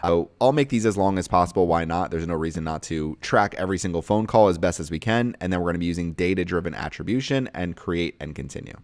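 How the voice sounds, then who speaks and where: A man's voice: deep voice, animated, fast, hardly any pauses, dry recording. A man hosting a casual screen-share tutorial, explaining each step in a plain-spoken, instructional way. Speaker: tutorial host and online course creator.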